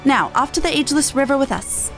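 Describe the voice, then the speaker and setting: A woman voicing an earnest, kindly festival envoy from a fantasy game, with formal, archaic, measured phrasing. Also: high voice, lively intonation, a bit bright, soft background music. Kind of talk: reading game dialogue aloud.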